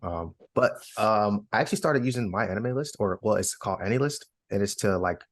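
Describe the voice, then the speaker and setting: A man's voice: on the low side, animated, fairly quick, dry recording, clean studio sound. A man chatting casually as a podcast co-host in an informal, slangy way. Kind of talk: casual chat.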